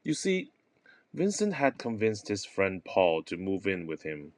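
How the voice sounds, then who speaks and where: Slow, animated male storyteller, very dry recording. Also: low voice, no room sound. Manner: dramatic pauses. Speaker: narrator, teacher. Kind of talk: theatrical comic storytelling.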